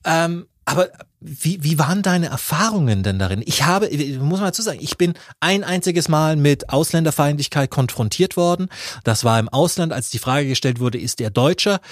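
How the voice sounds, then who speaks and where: A man's voice: fairly high pitch, animated, normal speed, no room sound, studio quality. A man co-hosting a casual podcast, rambling with many restarts and blunt, coarse remarks. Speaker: podcast co-host.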